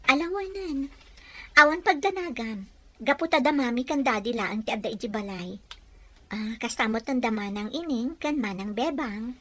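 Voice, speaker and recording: A woman's voice: on the high side, normal speed, lightly acted. A woman playing a sweet young woman in love in an audio drama, affectionate and reassuring. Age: young adult. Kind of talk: scripted audio drama dialogue.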